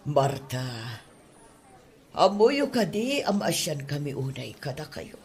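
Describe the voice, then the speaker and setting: An actor playing a kindly, older Madam who speaks slowly and consolingly with a husky voice in a radio drama. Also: mid-range voice, sing-song, darkish tone. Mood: gentle concern. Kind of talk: radio drama acting in Ilocano.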